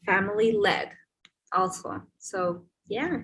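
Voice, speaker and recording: A woman's voice: medium pitch, slow, fairly bright tone, slightly rough, poor audio. A woman facilitating a video call discussion, talking in hesitant, searching phrases with many fillers. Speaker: session facilitator.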